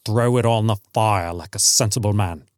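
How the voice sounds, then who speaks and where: A man voicing a middle-aged sergeant major in a story, grave and haunted, speaking in a quiet, deliberate, warning way. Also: lowish voice, no room sound, clean studio sound, natural voice. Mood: stern warning.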